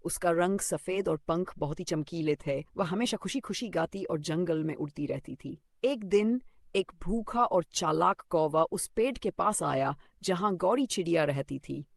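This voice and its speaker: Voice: mid-range voice, expressive, a little slow, creaky, no echo. A narrator reading a scripted children's story.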